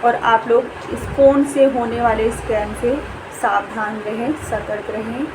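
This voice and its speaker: A woman with an Indian accent and a fairly high voice talks informally to her viewers. She is earnest and explanatory, repeating points for emphasis. A clear noisy hum sits behind her voice. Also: fairly even intonation, measured pace. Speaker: vlogger and school staff member. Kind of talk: informal spoken warning to viewers.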